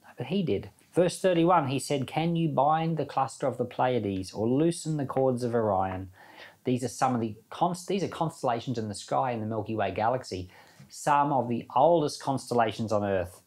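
A man's voice: medium pitch, animated, moderate pace, darkish tone. Conversational man teaching from scripture. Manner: conversational, posing rhetorical questions. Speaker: Bible teacher. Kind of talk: informal sermon with scripture reading and commentary.

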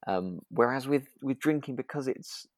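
A podcast co-host, talking thoughtfully and tentatively in relaxed discussion, with hesitant, circling phrasing. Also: medium pitch, normal speed, no room sound, studio quality. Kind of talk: relaxed discussion of a radio drama.

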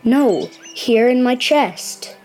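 A woman's voice: fairly high pitch, expressive, drawn-out words, darkish tone, soft background music, heavily acted. A cocky, cross young boy. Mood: defiant, cocky. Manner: defiant, precocious. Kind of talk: audio drama narration and dialogue.

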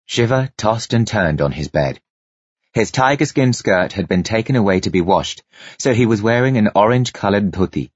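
Male audiobook narrator: dramatic, lively storytelling in a lowish breathy voice, close dry muffled sound. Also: normal speed, dry recording.

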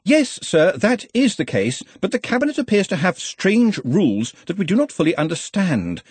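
Man acting anxious wizard underling, pedantic, deferential, fussy, high lively pitch, storybook character. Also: moderate pace, breathy edge, dry recording, heavily acted. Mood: fussy explaining. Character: anxious, pedantic male wizard assistant, deferential and long-suffering.

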